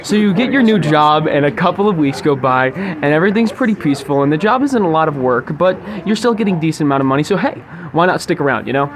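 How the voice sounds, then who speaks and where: A young man with a fairly high voice hosts a podcast from a busy restaurant. He delivers wordy, grandiose monologues in a mock-formal, theatrical way, with clear chatter around him. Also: expressive, fast, few pauses. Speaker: podcast host. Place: recorded inside a sushi restaurant.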